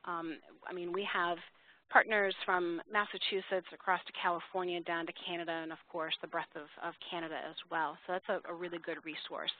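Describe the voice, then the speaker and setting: A woman's voice: on the low side, flat delivery, brisk, no room sound. A woman presenting a webinar in an informal, explanatory way, thinking aloud as she takes questions. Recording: tinny, dry phone-line audio.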